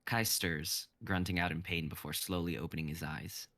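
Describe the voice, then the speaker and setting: A young man in his twenties reading stage directions as narrator of a live script reading, in an even manner. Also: low voice, normal speed. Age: 20s.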